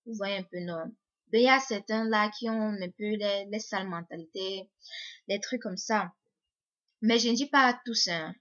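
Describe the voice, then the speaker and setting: A woman's voice: medium pitch, moderate pace, fairly bright tone. A young woman, vlogging to her viewers in a hesitant way, often repeating words and restarting. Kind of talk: casual monologue to viewers. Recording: slightly muffled lo-fi audio.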